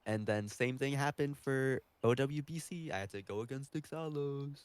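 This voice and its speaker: A man's voice: medium pitch, moderate pace, clear, resonant tone, dry recording. A man speaking casually as a podcast guest, answering interview questions in a conversational style.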